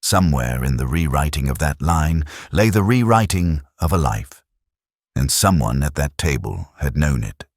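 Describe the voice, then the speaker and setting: A middle-aged man narrating a first-person detective story in a measured, literary, brooding manner. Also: rumbling low, animated, dry recording, studio quality.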